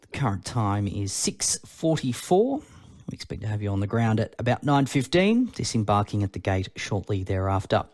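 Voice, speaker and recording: A man playing a polished, confident airline pilot making a formal, drawn-out cabin announcement as a parody. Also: fairly low pitch, big pitch swings, a little mellow. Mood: smooth, upbeat formality. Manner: drawn-out, formal, slowing down for effect.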